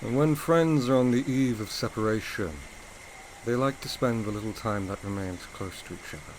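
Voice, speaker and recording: An actor playing a brooding, teasing English gentleman in a gothic romance audio drama, speaking in formal, theatrical period phrasing. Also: medium pitch, moderate pace, slight background noise, close to an everyday voice, low-quality recording. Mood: tender, melancholy. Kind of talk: audio drama acting, period literary dialogue.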